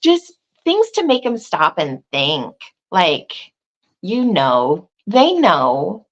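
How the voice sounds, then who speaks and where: A woman in casual conversation, thinking aloud with restarts and asides, who says she has a loud voice. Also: on the low side, huge pitch swings, extremely slow, lo-fi sound. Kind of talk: casual chat.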